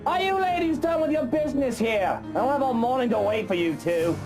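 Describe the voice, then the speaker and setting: A man's voice: very high voice, animated, fairly quick, darkish tone, faint music behind, pitched above the performer's own voice. A man voicing an impatient, sarcastic bystander in a horror game.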